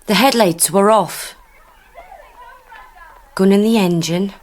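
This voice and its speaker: An actress playing Donna, a young working-class woman, haltingly recalling a night she witnessed. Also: mid-range voice, close to an everyday voice. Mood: dreamy recall. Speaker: witness under recall questioning. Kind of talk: radio drama acting.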